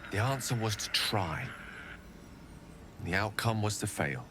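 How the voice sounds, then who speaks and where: An actor playing a learned, earnest wizard in a fantasy video game, speaking in florid, measured, dramatic phrases. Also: male voice, fairly low pitch, sing-song, noisy background. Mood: wistful, confessional. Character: learned male wizard, eloquent, theatrical, earnestly remorseful.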